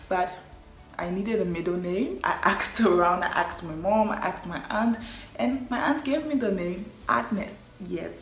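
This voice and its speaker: A young woman from Liberia, chatting casually to her vlog audience about herself, often affirming with "yes". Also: mid-range voice. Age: young adult. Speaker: vlogger. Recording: echoey room with faint ambient noise.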